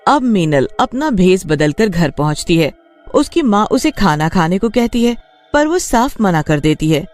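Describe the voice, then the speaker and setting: Animated woman narrating a story. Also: mid-range voice, unhurried, soft background music.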